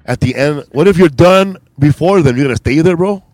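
A male comedian hosting a casual road podcast, teasing his guests in a drawn-out, laid-back way and saying "man" and "bro" a lot. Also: fairly high pitch, darkish tone, no echo.

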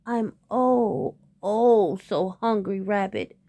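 A woman's voice: medium pitch, dark tone, dry recording. A woman voicing a hungry, pleading, easily panicked male tiger in a storybook tale, drawing out the words in overdramatic pleas. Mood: wheedling, self-pitying. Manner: drawn-out, wheedling, overdramatic pleading. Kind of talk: animated storybook character voice.

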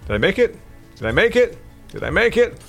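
A man casually chatting and joking while playing a video game on a podcast, reacting loudly to the screen. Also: sits high, fairly quick, faint music behind. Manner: jokey, animated reactions. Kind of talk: casual chat while gaming.

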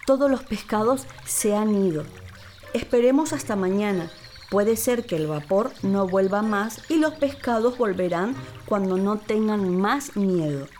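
A woman narrating a children's story in an expressive, deliberate, theatrical way. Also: mid-range voice, faint music behind. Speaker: actress, storyteller.

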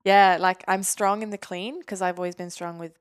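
A woman's voice: medium pitch, steady tone, normal speed, smooth, no echo, studio quality. A woman from Norway chatting informally as a podcast guest, in a casual, chatty way. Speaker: podcast guest, physiotherapist and weightlifter. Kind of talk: casual interview chat.

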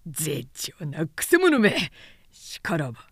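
A man's voice: on the high side, wildly swooping pitch, slow pace, fairly bright tone, slightly rough, no echo, clean studio sound, exaggerated voice. A woman narrator voicing a menacing male warlord who questions and commands in mocking, lordly archaic speech in a storybook reading. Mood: interrogating. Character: menacing male warlord, sardonic, imperious interrogator.

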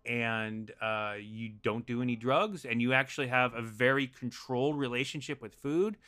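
Loud, animated male podcast guest with a bright, crisp voice. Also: mid-range voice, moderate pace. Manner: naturally loud, animated storytelling. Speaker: podcast guest, improv performer. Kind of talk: casual chat.

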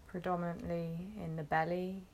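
A woman guiding a meditation, gently instructing in short phrases with long, unhurried pauses. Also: lowish voice, level tone, slight background noise. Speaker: meditation guide. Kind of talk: guided meditation, soothing instruction.

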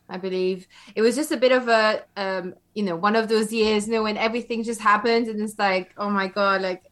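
A woman's voice: mid-range voice, a bit bright. A woman in her forties from Belgium, a podcaster telling her story as an interview guest in a chatty, giggly way. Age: 40s. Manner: chatty, laughs often, many fillers. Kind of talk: casual interview.

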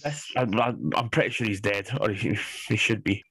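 A man's voice: on the low side, normal speed, background noise. A man in his forties co-hosting a film podcast, ranting bluntly and sarcastically with plenty of swearing. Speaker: podcast co-host. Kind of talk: casual chat.

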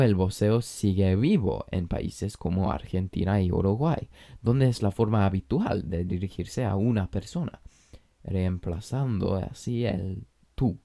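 A man who is a native English speaker, talking Spanish with an English accent, hosting a language-learning podcast in a measured, explanatory way with light jokes. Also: low-pitched, vocal fry, mellow. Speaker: podcast host. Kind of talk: scripted language-learning monologue.

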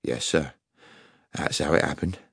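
A man's voice: extremely low pitch, a little slow, a bit bright, husky, no room sound, studio quality, voice pushed down, heavily acted. A man voicing an honest, anxious working-class railway guard who answers deferentially and insists on his story. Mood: defensive.

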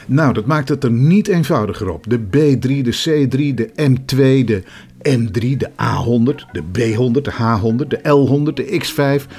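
A man's voice: medium pitch, normal speed, darkish tone. A man with a Netherlands Dutch accent presenting scripted documentary narration. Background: music under the voice.

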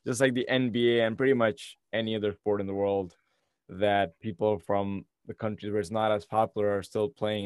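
A man's voice: on the low side, darkish tone, clean tone, no echo. Male podcast host, dry close sound. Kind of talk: podcast intro and interview.

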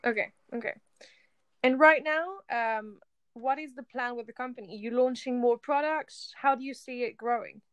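A woman hosting a podcast interview, enthusiastic and encouraging, with somewhat halting questions. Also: a little high, fairly bright tone, smooth, no room sound. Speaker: podcast host.

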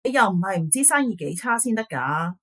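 A woman's voice: mid-range voice, big pitch swings, brisk, fairly bright tone. A woman acting a dismissive, weary office boss in a radio drama, with a Hong Kong Cantonese accent. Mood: brusque, placating.

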